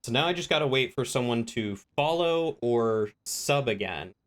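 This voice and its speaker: A man's voice: mid-range voice, lively intonation, moderate pace. A man livestreaming a role-play game, chatting casually with viewers and explaining things in an informal, rambling way as he thinks aloud.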